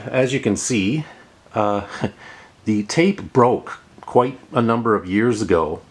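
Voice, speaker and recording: A middle-aged man giving casual hands-on tutorial narration, explaining plainly and repeating words for emphasis. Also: mid-range voice. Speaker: host of a how-to tech repair video.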